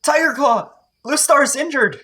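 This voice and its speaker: A man's voice: very high voice, expressive, moderate pace, sharp tone, full character voice. A man voicing an earnest, worried young apprentice cat in a dramatic fan-made audiobook, urgent and eager. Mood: alarmed, worried.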